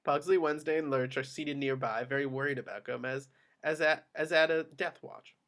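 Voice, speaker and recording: Slightly high-voiced man narrating a screenplay. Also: fairly even intonation, fairly quick, a bit bright. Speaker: narrator reading action descriptions.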